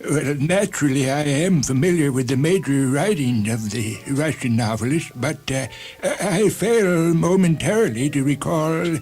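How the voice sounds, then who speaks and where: A man's voice: on the high side, moderate pace, darkish tone, lightly acted. A man playing a genial, pedantic old Indian professor in a radio story drama, courteous, long-winded and hesitant. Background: music.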